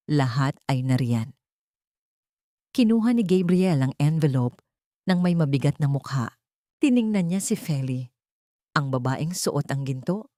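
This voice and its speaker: A woman's voice: low voice, dark tone, no echo, studio quality. A woman reading a scripted dramatic love story as its narrator.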